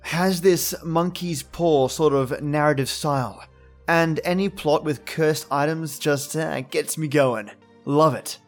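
A man's voice: on the high side, steady tone, takes it slowly. An Australian man hosting a podcast, giving casual, reflective commentary. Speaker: podcast host. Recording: faint music behind voice.

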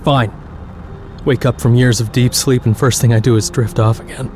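An actor playing a weary, anguished and stubborn space traveler in an audio drama, muttering and exasperated. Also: male voice, mid-range voice, steady tone, moderate pace, a little mellow, soft background music, lightly acted.

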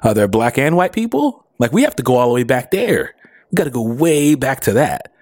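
Animated man riffing with a lively voice. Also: medium pitch, a little fast, dry recording, clean studio sound. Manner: animated, questioning, laughs often. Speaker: podcast co-host. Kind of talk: casual pop culture chat.

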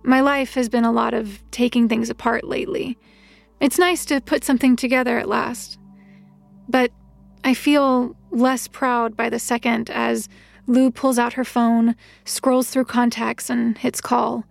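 An actress playing an earnest, reflective detective's assistant, narrating an audio drama in a measured, introspective way. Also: female voice, a little high, little pitch movement, vocal fry, soft background music.

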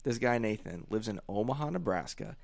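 A man from Central Florida co-hosting a sports podcast, talking in a wry, offhand way that trails off quietly. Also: on the low side, lively intonation, brisk, gravelly, no echo. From Central Florida, USA. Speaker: podcast co-host. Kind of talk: casual podcast chat.

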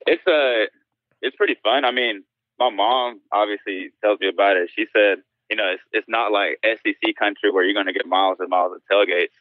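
A man's voice: fairly low pitch, fairly quick, no echo. A young man in his twenties from Spain and South Carolina, answering interview questions in an easygoing way on a phone line. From born in Spain, raised in South Carolina. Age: 20s. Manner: easygoing, laughs often, short answers. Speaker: guest, college football prospect.

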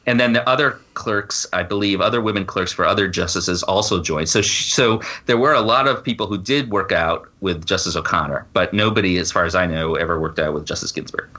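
A man speaking as a guest author in a conversational interview, informal and halting, often breaking off to rephrase. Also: low voice, bright, forceful tone, dull audio. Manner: halting, informal, frequent self-interruptions and "you know". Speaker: guest author and law professor.

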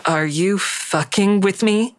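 A woman's voice: medium pitch, takes it slowly, dry recording, natural voice. A woman playing a guarded, blunt protagonist in an audio drama, narrating and speaking with a dry, terse manner. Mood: hostile. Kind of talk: first-person audio drama narration and dialogue.

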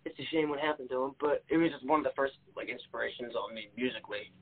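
A man's voice: medium pitch, quick pace, a crowd in the background. A twenty-year-old man, a rock guitarist, answering interview questions in a laid-back, chatty way. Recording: tinny phone-like sound.

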